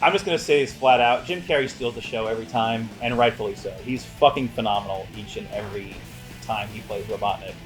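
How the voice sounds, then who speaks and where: A man's voice: on the low side, quick pace, soft background music. A man co-hosting a casual film review, bantering in a mock-serious, hyperbolic way. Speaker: co-host of a movie review show. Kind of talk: casual chat with joking banter.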